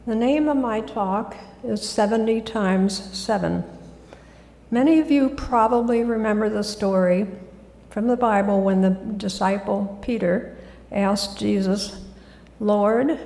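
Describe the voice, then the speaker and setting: A woman giving a gentle, soft-spoken talk as a guest speaker in a church. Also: mid-range voice, slow pace, vocal fry. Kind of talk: sermon talk. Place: church sanctuary. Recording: echoey hall.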